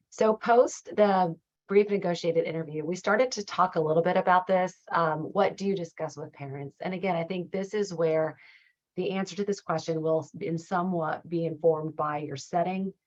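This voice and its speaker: A woman with a low, slightly raspy voice that is starting to go, leading a training session. She explains in a measured, supportive, conversational way. The audio is dull and a bit muffled. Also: steady tone. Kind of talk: conversational slide presentation.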